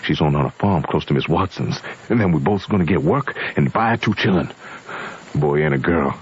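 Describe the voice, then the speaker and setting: A man's voice: extremely low pitch, lively intonation, normal speed, husky, exaggerated voice. A man playing a hopeful, devoted runaway enslaved man with a Southern American accent and heartfelt dialect speech in an old-time radio drama. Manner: heartfelt dialect speech, refers to himself by name. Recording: muffled old radio recording.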